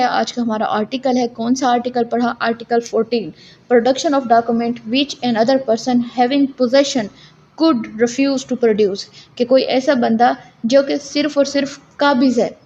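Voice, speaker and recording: A woman with a Pakistani accent and a fairly high voice delivers an instructional lecture. She alternates between reading formal text aloud and explaining it as a teacher would. The sound is somewhat dull and lo-fi, with faint noise behind her. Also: a little mellow. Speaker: law lecturer. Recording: muffled lo-fi audio with background noise.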